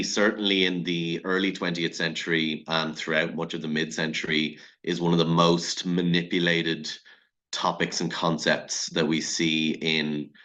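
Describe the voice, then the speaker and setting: Very deep, bright-voiced man lecturing. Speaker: academic lecturer. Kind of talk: academic conference talk.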